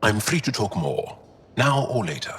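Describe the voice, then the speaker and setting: A man voicing an earnest alien warrior crewmate in a video game, husky and heartfelt. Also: on the low side, big pitch swings, normal speed, a bit bright, exaggerated voice. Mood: friendly.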